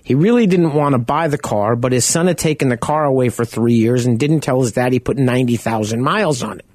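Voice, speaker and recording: A man with a loud voice hosting a radio talk show, speaking in a casual, rambling, anecdotal way. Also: medium pitch, brisk, no echo.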